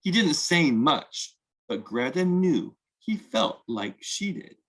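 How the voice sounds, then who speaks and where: A man hosting a children's read-aloud, telling a picture book story expressively and deliberately, inviting listeners to join in. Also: on the high side, sing-song, fairly bright tone.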